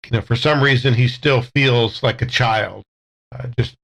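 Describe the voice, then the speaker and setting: Male tabletop player, mid-pitched, casual storytelling with a halting, thoughtful delivery. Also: gravelly, a bit bright.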